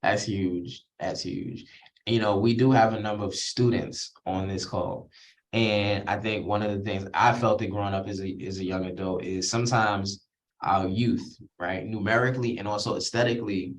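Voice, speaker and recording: A man's voice: fairly low pitch, normal speed. A man hosting an interview who asks long, casual questions and often adds "right?" and "you know". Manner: relaxed, conversational, frequent "right?" and "you know". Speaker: interview host.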